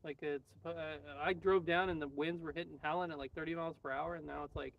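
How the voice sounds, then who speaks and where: A man's voice: fairly high pitch, fairly even intonation, fast, gravelly, far from the mic, dull audio. A man chatting casually on a livestream, thinking aloud in a rambling, joking way. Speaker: livestream guest and radio hobbyist. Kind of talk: casual live chat. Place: outdoors in a quiet spot with birds. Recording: off-mic, faint background noise.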